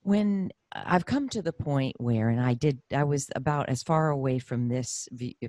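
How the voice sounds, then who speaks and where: A woman's voice: very deep voice, animated, moderate pace, a little mellow, dry recording, studio quality. A middle-aged woman hosting a podcast, talking in an emphatic, effusive, conversational way. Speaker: podcast host. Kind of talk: conversational interview.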